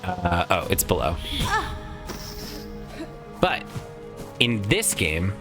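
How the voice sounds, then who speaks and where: A man's voice: low-pitched, big pitch swings, very slow, music in the background. A man casually commenting while playing a video game, chatty and rambling with some swearing, his voice tired from a lot of recent use. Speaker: let's play host.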